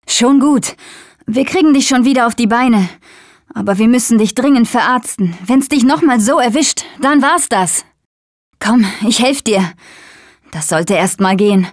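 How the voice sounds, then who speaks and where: A young female zombie-apocalypse survivor from a video game, acted by a woman, with a high voice. She talks tough but cares for her team, and delivers her short lines at an unhurried pace. The sound is dry and close. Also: no room sound, close to an everyday voice.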